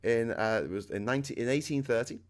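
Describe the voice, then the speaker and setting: Scouse male host, middle-aged, booming and chatty, quick to laugh, average pitch. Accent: Liverpool (Scouse). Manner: loud, chatty and excitable, laughs often. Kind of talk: casual chat.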